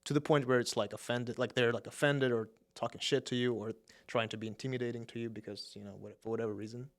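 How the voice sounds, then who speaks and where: A man's voice: mid-range voice, fairly even intonation. A young man hosting a podcast interview, chatty and informal, with loose grammar. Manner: quick, chatty, loose non-native grammar. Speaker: podcast host.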